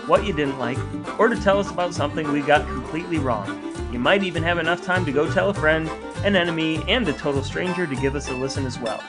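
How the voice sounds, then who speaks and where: A man hosting a casual podcast, chatting and addressing the listeners. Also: on the high side. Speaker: podcast host. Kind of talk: casual podcast banter and sign-off.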